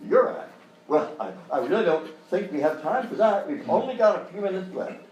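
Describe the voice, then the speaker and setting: An actor playing a flustered, falsely cheery children's TV host who stammers and hems, in a live radio-style comedy sketch. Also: male voice, high voice, expressive, brisk, a little mellow, highs cut off. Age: middle-aged or older. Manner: stammering, hemming and hawing, exaggerated. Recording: roomy live stage, distant mic, faint noise.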